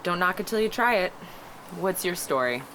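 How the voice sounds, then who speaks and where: Brash flirty biker gal read by a woman, low voice, wry banter, script read in a clearly noisy room. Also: lively intonation, moderate pace, fairly bright tone.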